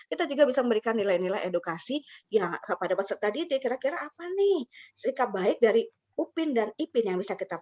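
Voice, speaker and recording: Chatty woman hosting, slightly muffled sound. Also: on the high side. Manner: chatty, engages listeners with questions. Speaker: webinar host and teacher mentor. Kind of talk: interactive webinar presenting. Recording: muffled lo-fi online call audio.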